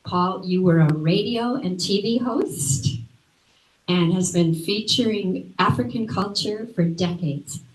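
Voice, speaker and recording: A woman's voice: mid-range voice, slow. A woman introducing a guest speaker at a library event, reading his bio with friendly, chatty asides to the audience. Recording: echoey room.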